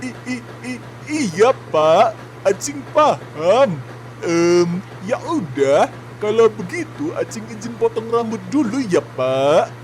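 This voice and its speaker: A man's voice: sits high, big pitch swings, takes it slowly, a little hiss or hum, heavily acted, poor audio. A man playing a timid young male factory intern in a cartoon drama, stammering and apologetic. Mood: meek. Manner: stammering, meek. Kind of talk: animated cartoon drama dialogue.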